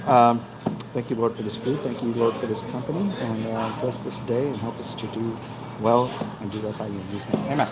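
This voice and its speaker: A man's voice: medium pitch, fairly even intonation, fairly quick, rough recording. A man chatting casually to a livestream while he eats, talking between bites with savoring hums. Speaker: livestream host. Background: music.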